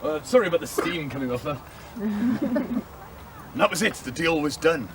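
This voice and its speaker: A man telling a pirate story live to an audience outdoors, lively and playful with little hesitations. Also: fairly high pitch, normal speed. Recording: outdoor street noise.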